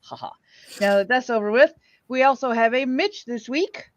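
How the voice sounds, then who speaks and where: Female stream host, high voice, playful and self-mocking, chatty delivery, dry but dull lo-fi sound. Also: expressive, moderate pace, dry recording. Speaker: livestream host. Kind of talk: casual chatty livestream greeting.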